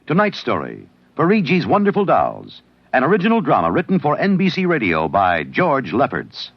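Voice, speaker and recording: Casting: American male announcer, husky and very animated in pitch, vintage radio credits. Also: moderate pace, no echo. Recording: dull old radio recording.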